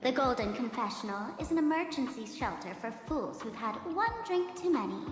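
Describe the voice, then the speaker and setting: A woman's voice: on the high side, lively intonation, a little fast, lightly acted. A woman voicing a playful, sly, teasing female trickster in a video game, with theatrical delivery. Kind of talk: video game character acting. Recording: echoey hall reverb, loud music behind. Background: music.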